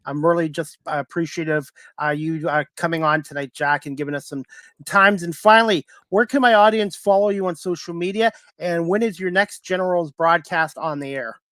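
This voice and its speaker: A man hosts a sports talk show. His voice sits a little high, and the sound is dry with no room around it. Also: brisk, fairly bright tone, no room sound.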